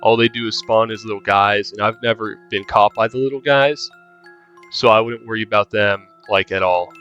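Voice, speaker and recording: A man's voice: on the low side, fairly even intonation, normal speed, a bit bright, faint music behind. A man giving casual game guide commentary as a walkthrough narrator, in a lo-fi recording.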